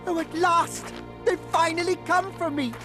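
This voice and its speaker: A woman voicing a vain, naive, dreamy young fir tree in an animated fairy tale, gushing and theatrical about itself. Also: extremely high pitch, moderate pace. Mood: elated, proud. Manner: gushing, self-absorbed, theatrical. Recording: music behind the voice.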